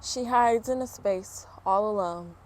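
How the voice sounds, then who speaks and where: A woman reading a children's picture book aloud in an expressive, unhurried way, with informal chatty asides. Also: mid-range voice, rough recording.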